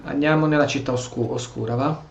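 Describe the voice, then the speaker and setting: A man's voice: medium pitch, steady tone, fast, gravelly, reverberant, muffled recording, slight background noise. A man with an Italian accent giving casual gameplay commentary, thinking aloud and often repeating himself. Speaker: gamer commentator. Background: game music.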